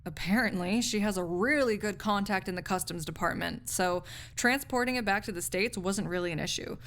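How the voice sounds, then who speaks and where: A woman's voice: medium pitch, a little fast, fairly bright tone, lightly acted. A woman playing a snarky, skeptical university research assistant in an audio roleplay, speaking articulately in a conversational, lecturing way with dry asides. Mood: intrigued, explaining.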